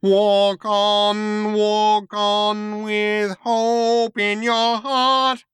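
A relentlessly chipper male spaceship computer, pitched well up and whining through the nose. It is over-friendly and sentimental, in a comic science fiction audiobook, recorded very dry. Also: animated, sharp tone, no echo, full character voice. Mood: crooning. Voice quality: nasal, whining. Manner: chirpy, over-friendly, breaks into slow sentimental song. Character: relentlessly cheerful chirpy male shipboard computer, whiny crooner.

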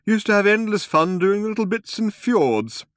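A man voicing a mild, polite old male planet-builder who talks slowly and wistfully, raised above his own voice, recorded dry and close. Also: high voice, big pitch swings, fairly bright tone, no echo, voice pushed up. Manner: slow and drawn out, mild and courteous.

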